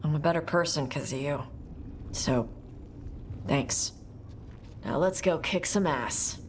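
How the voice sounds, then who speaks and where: A woman's voice: low-pitched, takes it slowly, a little hiss or hum. A woman voicing a brave young dragon hunter in a video game, speaking in blunt, sincere, short phrases. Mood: heartfelt. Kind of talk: video game character acting.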